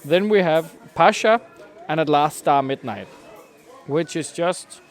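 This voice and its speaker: A man's voice: a little high, slow pace, faint music behind. A young man chatting casually as a podcast co-host, with a wry, mock-formal way of phrasing. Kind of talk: casual chat.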